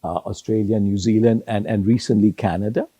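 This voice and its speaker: An older man whose native language is Sindhi, answering interview questions in a measured way with many pauses and restarts. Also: fairly low pitch, steady tone, dark tone, breathy edge, no echo. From Hong Kong. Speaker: retired judge, interview guest.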